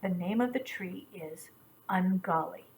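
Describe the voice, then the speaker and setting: A lordly lion, polite on the surface but reluctant to share. A woman telling the story plays him, dropping her voice low and quiet. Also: unhurried. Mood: grudging. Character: lordly, grudging male lion.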